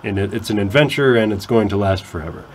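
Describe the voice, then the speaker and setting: A man's voice: fairly low pitch, quick pace, slight background noise. A man who hosts a campus radio talk show, explaining at length and often restarting his sentences. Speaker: faculty radio host.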